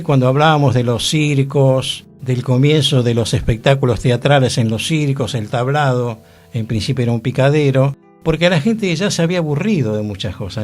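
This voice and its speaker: A man, explaining matter-of-factly on a radio talk show. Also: medium pitch. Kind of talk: radio conversation. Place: radio studio.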